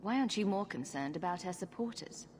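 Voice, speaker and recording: A woman's voice: mid-range voice, expressive, brisk, a little mellow, slight background noise, lightly acted. A woman playing a wry, unflappable game heroine with a dry, sardonic way of talking. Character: wry, unflappable heroine adventurer, quick with sarcasm. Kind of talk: video game voice acting.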